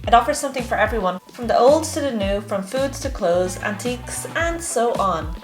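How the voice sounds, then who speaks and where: A woman's voice: on the high side, lively intonation, fairly quick, faint music behind. A woman vlogger talking casually and enthusiastically as she narrates a shopping trip.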